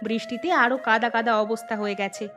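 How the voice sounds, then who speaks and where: A woman's voice: a little high, level tone, quick pace, music in the background, natural voice. A woman playing a kindly village woman in a cartoon fairy tale. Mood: soothing, tired. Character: kindly village woman walking with her family.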